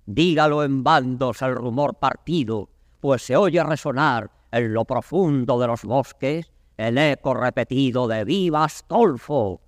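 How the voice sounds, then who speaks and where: A man's voice: medium pitch, a little slow, dry recording, clean studio sound, natural voice. An actor playing a stern, embattled old king, declaiming classical Spanish verse in a solemn manner. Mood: grave, foreboding.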